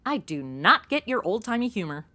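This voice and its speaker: A woman's voice: medium pitch, sing-song, moderate pace, sharp tone. An actress playing a flippant, ditzy modern young woman, sassy and unfazed, in a comedic audio drama. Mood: breezy. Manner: sassy, exaggerated modern slang delivery.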